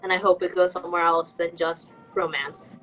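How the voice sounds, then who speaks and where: A woman's voice: fairly low pitch, little pitch movement, normal speed, fairly bright tone, soft background music, poor audio. A young woman co-hosting a podcast, speaking informally and earnestly in a casual conversational style. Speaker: podcast co-host.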